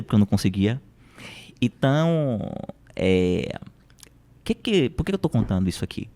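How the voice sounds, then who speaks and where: A man's voice: lowish voice, a little mellow. A Brazilian man speaking as a podcast guest, explaining things in a conversational, motivational way with rhetorical questions and emphatic repetition.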